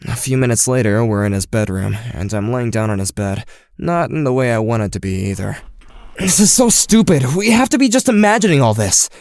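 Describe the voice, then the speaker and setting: A man's voice: mid-range voice, lively intonation, fairly quick, a little mellow, dry recording, clean studio sound, close to an everyday voice. A man playing an anxious, skeptical teenage boy with psychic powers in an audio drama, with a hesitant, wry delivery. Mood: wry, reflective, then alarmed, incredulous. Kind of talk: audio drama dialogue and first-person narration.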